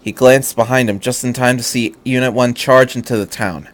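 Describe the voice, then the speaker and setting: A man's voice: medium pitch, fairly even intonation, moderate pace. A man reading fan fiction aloud as a narrator, with an earnest, dramatic delivery.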